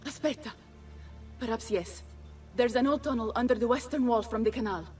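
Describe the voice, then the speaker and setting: A woman's voice: a little high, a little fast, a little mellow, recorded from a distance, faint music behind, lightly acted. A woman playing a brash, foul-mouthed Italian noblewoman and ruler in a game cutscene, with an Italian accent and speech peppered with Italian. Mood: resolute. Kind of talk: video game cutscene acting.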